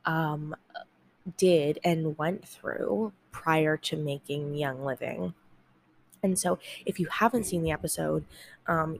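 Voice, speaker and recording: A woman talks through her podcast at home in a low voice, with a gravelly fry running through it. She sounds stuffed up and nasal from congestion. Her talk is loose and rambling, with plenty of ums and restarts. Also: a little mellow. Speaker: podcast host. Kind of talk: casual solo podcast talk. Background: fan whir.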